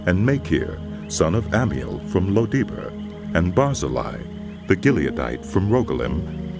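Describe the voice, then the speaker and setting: A man giving solemn, measured dramatic narration of scripture as a scripted audio production. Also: deep voice, creaky.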